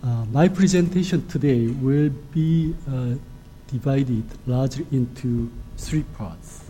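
A middle-aged man from Korea with a Korean accent, an ambassador giving a formal lecture in a halting, soft-spoken way. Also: medium pitch, slight background noise, poor audio. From South Korea. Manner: halting, with frequent hesitations.

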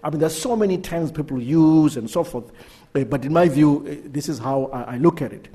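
A middle-aged man giving a talk and answering questions, halting often and repeating words. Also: on the high side, dark tone. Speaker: guest speaker. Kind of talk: lecture and Q&A answers.

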